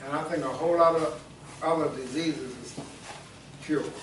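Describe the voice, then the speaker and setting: A man's voice: fairly high pitch, fairly even intonation, normal speed, slight background noise. Older man talking from across a roomy space. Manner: folksy, joking, repeats himself. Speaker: wellness speaker. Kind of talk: informal health talk to a group. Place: indoor room with an audience. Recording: roomy, from a distance, with a crowd.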